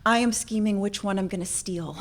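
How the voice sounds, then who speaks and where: A woman's voice: mid-range voice, normal speed, very noisy. A woman from central Florida, born in Norway, sharing a personal story informally with a group in a candid, self-deprecating way. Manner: candid, self-deprecating, animated when acting out moments. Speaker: speaker sharing a personal addiction recovery story.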